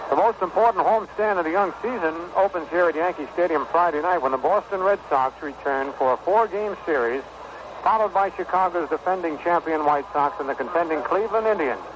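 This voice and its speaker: American baseball announcer on noisy vintage radio. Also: male voice, a little high, fairly quick. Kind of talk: 1960s radio sports commentary. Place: stadium broadcast booth. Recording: noisy dull vintage 1960 radio broadcast.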